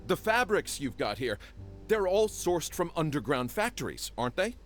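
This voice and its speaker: An actor playing a rude customer who mocks and accuses the shop. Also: male voice, on the high side, big pitch swings, moderate pace, soft background music. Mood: scornful. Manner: mocking, accusing. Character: rude, scornful male customer.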